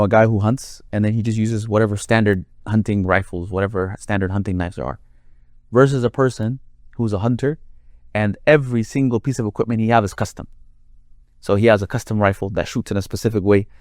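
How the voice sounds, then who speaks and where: Deep-voiced man, dry close sound. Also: fairly even intonation, fast, a little mellow, no room sound. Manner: explains with long worked examples. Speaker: Quran reciter and guest. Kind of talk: conversational interview.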